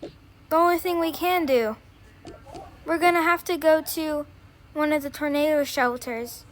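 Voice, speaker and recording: A woman's voice: sits high, a little slow. A woman voicing a worried parent urgently taking charge in a pretend-play family drama, with faint noise behind. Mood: urgent worry.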